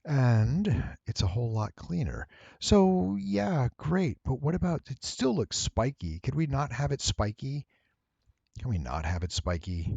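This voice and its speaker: A man giving a casual, unscripted software tutorial in a deep, husky voice, with wry, playful asides. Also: very animated, measured pace, no room sound, lo-fi sound.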